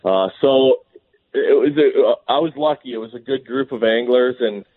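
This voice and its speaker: A Canadian man in his thirties, hoarse and scratchy from a cold, talking casually and rambling a bit as a guest. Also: mid-range voice, normal speed, dry recording. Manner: rambling, easygoing, restarts often. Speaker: professional bass angler, guest. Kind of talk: casual phone interview. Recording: tinny phone line.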